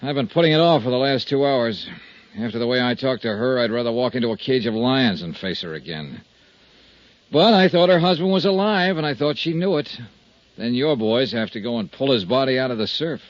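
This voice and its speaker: An actor playing a brash, wisecracking freelance insurance investigator in a 1950s radio drama, with punchy, wry delivery. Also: male voice, medium pitch, a little fast, no echo, close to an everyday voice. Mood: rueful. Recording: dull 1950s radio recording, music underneath.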